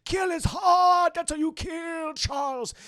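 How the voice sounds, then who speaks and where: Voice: very high-pitched, slow, a bit bright, raised voice, pitched above the performer's own voice, exaggerated voice. A person playing a wounded, exasperated male police detective who groans and blurts instructions in a story retelling. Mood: urgent shouting.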